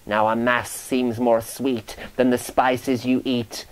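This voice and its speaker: A man's voice: mid-range voice, little pitch movement, takes it slowly, clear, resonant tone, lightly acted. A man voicing a grisly, woeful ghost who solemnly prophesies doom in a dramatic reading of medieval romance verse. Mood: doom-laden, woeful. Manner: solemn, incantatory, moaning, preaching.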